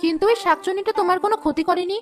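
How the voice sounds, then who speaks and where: Woman voicing an anxious, very high-pitched village wife. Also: very fast, soft background music. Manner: worried, questioning. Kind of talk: animated folk tale dialogue.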